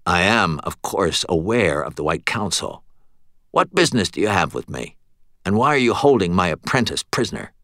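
A man's voice: sits low, very animated, normal speed, fairly bright tone, no echo, studio quality. A man voicing a cold, self-important sorcerer boss in an audiobook, with a curt and controlled manner. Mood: cool, challenging. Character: cold, self-centered megalomaniac sorcerer, guarded cult boss.

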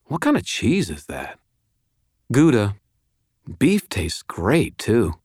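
A man's voice: mid-range voice, wildly swooping pitch, slow pace, darkish tone, breathy edge, no echo, voice pushed up, heavily acted. A man playing a dry, tough, wisecracking detective in an audiobook, with a casual, sardonic way of talking. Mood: playful.

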